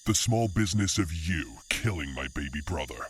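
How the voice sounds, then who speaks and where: A man's voice: low-pitched, big pitch swings, normal speed, slight background noise. A man playing a large, menacing orc villain who speaks with formal menace in an audio drama. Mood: cold, threatening.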